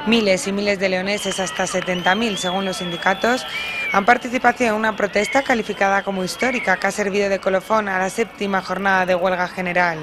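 A woman's voice: mid-range voice, fairly even intonation, a little fast, background noise. A woman reporter reading a scripted news voice-over in a formal, emphatic way.

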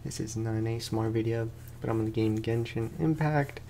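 A man's voice: lowish voice, a little fast, mellow, slight background noise. A young man, sounding tired, gives casual let's play commentary as a gaming host, rambling along in slangy, informal speech.

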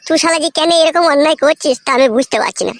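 Man doing a squeaky, fast-scolding cartoon buddy. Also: no room sound. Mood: exasperated. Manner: foul-mouthed, hectoring. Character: scolding, exasperated young male friend. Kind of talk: crude comedy cartoon dialogue.

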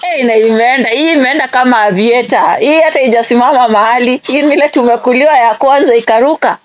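A woman's voice: high-pitched, quick pace. A woman in her twenties with a Kenyan accent, hosting a phone call in a casual, chatty style. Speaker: prank call show host. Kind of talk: casual phone chat mixing Swahili and English.

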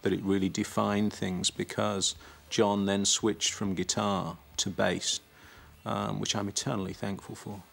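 A man telling the story of his band in a documentary interview, in a measured way. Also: fairly low pitch, little pitch movement, gravelly, a bit bright. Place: dark studio set.

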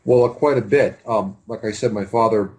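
A man's voice: fairly low pitch, a little fast, darkish tone. A man, a film director reminiscing in an interview in a hesitant, rambling way over a video call. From Canada. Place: home room with bookshelves. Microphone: computer mic on video call.

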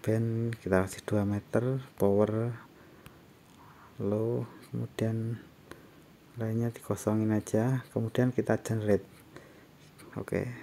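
A man gives a casual step-by-step software tutorial, explaining in a halting way. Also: fairly low pitch. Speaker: tutorial presenter. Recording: faint background noise.

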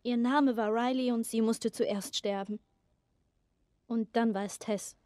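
A woman playing an earnest, vulnerable teenage girl survivor in a video game drama, speaking haltingly. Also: fairly high pitch, steady tone, mellow, no room sound, clean studio sound, natural voice. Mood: sad, confessional.

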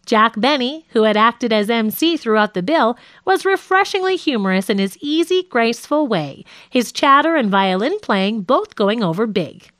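A woman reading a nonfiction audiobook as a scripted narration, with measured, clearly phrased delivery. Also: on the high side, a bit bright, no echo, studio quality.

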